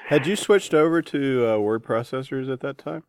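A man hosting a casual interview, asking questions in a tentative, halting way. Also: medium pitch, animated, smooth. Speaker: interviewer, host.

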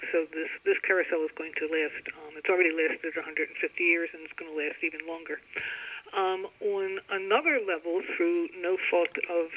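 A woman being interviewed as an author, explaining things in a deliberate, halting way with pauses between phrases over a thin telephone line. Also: lowish voice, pushed and bright. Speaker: author guest. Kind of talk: interview, explaining own novel.

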